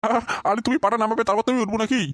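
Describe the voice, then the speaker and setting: A man's voice: very high-pitched, fairly even intonation, very fast, a bit bright, no echo, studio quality. A man voicing a henpecked, grumbling old philandering husband in an amateur comic cartoon, repeating himself and cursing. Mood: pleading, flustered. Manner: stammering, foul-mouthed, repeats himself.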